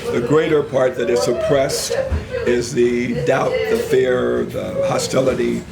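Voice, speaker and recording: An older man, a minister and retired engineer, telling his story in an interview in a measured, reflective way, with a mild stutter and occasional word swaps. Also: mid-range voice, fairly even intonation, vocal fry, over a noisy crowd. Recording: loud background noise.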